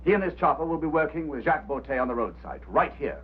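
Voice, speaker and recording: An actor playing a brusque, impatient, skeptical mining company boss, commanding and dismissive, in mid-century film drama style. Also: male voice, on the high side, sing-song, fast talker, breathy edge, narrow, tinny audio. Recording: thin, noisy old film soundtrack.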